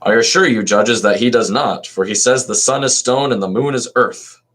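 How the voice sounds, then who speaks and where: A man's voice: medium pitch, moderate pace, a bit bright, pitched above the performer's own voice, close to an everyday voice. A man reading aloud as a blunt, insistent male accuser, in a slightly raised, firmer tone.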